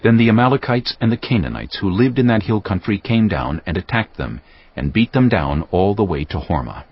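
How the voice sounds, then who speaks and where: A man's voice: lowish voice, sing-song, brisk, husky, dull audio. A man narrating scripture as a scripted, dramatic story.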